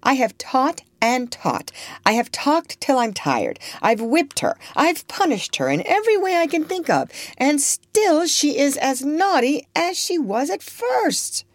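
A woman's voice: sits high, big pitch swings, a little slow, no echo, voice pushed up, heavily acted. A woman puts on a prim, strict storybook guardian. She is fussy and exasperated, her raised voice leaping about indignantly, heard dry and close.

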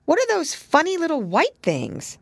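Curious little girl, put on by a woman, high and bright-toned, dry storybook read. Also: wildly swooping pitch, normal speed, vocal fry, dry recording, exaggerated voice. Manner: eager questioning.

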